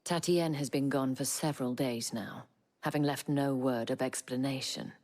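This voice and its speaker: Very deep-voiced, refined madame. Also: female voice, steady tone, a little mellow. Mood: businesslike, concerned. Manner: refined, teasing, deliberate. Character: poised, shrewd mature madame of a fantasy brothel. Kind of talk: video game cutscene acting. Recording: faint music behind the voice.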